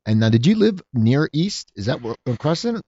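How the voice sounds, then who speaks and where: A man in his late forties from South Jersey hosts a casual chat show, rambling and ranting in a slightly nasal voice. His pitch swings up and down with animation. The recording sounds dull and lo-fi. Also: very animated, moderate pace, warm tone, husky, no echo. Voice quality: a little nasal. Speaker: podcast host.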